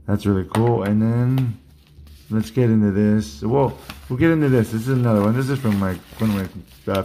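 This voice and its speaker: Low-voiced man losing his voice. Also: mellow. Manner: rambling, restarts sentences, chatty. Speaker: card collecting channel host. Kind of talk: casual unboxing vlog. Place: indoor collection room at a desk.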